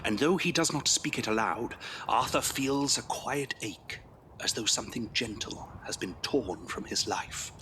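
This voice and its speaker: A male actor as the storyteller of a Victorian audio drama, reading scripted narration in a measured, grave, literary way. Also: mid-range voice, expressive, pushed and bright, a little hiss or hum, poor audio. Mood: solemn, wistful.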